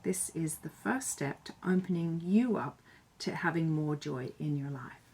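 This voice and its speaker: Earnest chatty woman, lowish voice. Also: unhurried, darkish tone, breathy edge. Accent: none Irish. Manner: earnest, chatty and reflective, with some fillers. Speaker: self-styled joy bringer. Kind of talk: talking to camera with tips.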